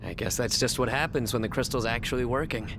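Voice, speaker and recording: An actor playing an energetic, informal young warrior in a video game, with a lively manner. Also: male voice, medium pitch, fast talker, vocal fry, noisy background, close to an everyday voice. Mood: chatty. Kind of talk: video game cutscene dialogue.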